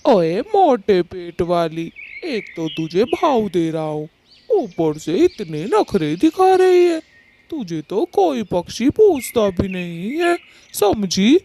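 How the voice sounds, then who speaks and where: Woman voicing a bright, creaky, lecherous cartoon crow. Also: medium pitch, sing-song, slow, slight background noise. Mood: mocking. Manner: swaggering, taunting, drawling. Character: vain, lecherous male cartoon crow husband. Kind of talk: Hindi cartoon moral story dialogue.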